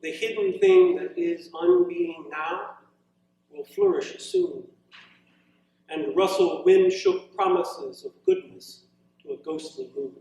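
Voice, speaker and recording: A male poet reads his work aloud to a live gathering, with measured verse and loose, conversational asides. His voice sits fairly high for a man. It comes through heavily echoing, picked up some way from the microphone. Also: huge pitch swings, creaky, mellow. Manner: measured verse delivery, informal hesitant asides. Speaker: poet reading own work. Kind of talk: live poetry reading with casual asides. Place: indoor college reading event, echoing room. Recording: very echoey room, distant mic.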